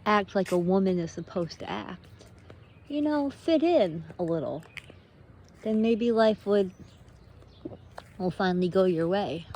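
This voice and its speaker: A woman talks slowly and reflectively, letting her phrases hang and repeat as she thinks aloud. Her voice is soft-edged and mellow. She is outdoors, with faint natural noise and birds around her. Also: medium pitch, expressive. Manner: musing, halting, drawn-out phrases. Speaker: host of a personal walking monologue. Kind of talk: unscripted reflective monologue.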